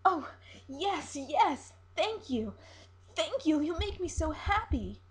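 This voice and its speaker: A woman's voice: high voice, very animated, takes it slowly, pitched above the performer's own voice, heavily acted. A woman voicing a naive young mermaid in a story, with a breathless, emotional delivery. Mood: gushing, eager. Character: naive young female mermaid, lovestruck, pleading and frantic.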